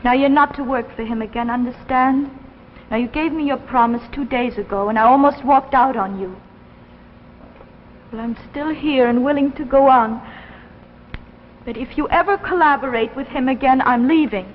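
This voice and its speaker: An actress playing a worried, firm wife, earnest and pleading, in old radio drama style. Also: female voice, high voice, moderate pace, slight background noise, natural voice. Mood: stern. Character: worried, firm wife giving her husband an ultimatum. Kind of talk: 1950s radio drama acting. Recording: dull old radio recording.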